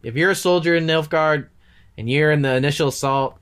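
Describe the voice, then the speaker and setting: A man's voice: on the high side, brisk, fairly bright tone, clean tone. Male podcast co-host, congested, casual run-on recap delivery. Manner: rambling, recounts scenes in long run-on stretches. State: stuffy nose.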